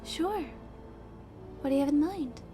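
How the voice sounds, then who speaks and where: A young woman playing an earnest, sweet runaway princess in a video game, with a soft, sometimes breathy delivery. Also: high-pitched, measured pace, faint music behind. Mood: gentle, reflective. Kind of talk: video game character dialogue.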